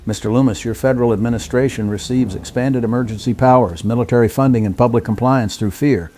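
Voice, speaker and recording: A man's voice: medium pitch, fast, dark tone, slight background noise, lightly acted. A man playing a calculating corporate executive villain in an audio drama, speaking in formal business phrases. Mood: smug, businesslike.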